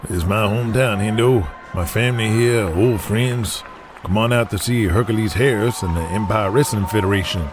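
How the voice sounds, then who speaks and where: A man's voice: on the low side, moderate pace, noisy background, natural voice. A man playing a disillusioned pro wrestler, wry, weary and grumbling, in an audio drama. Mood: disappointed.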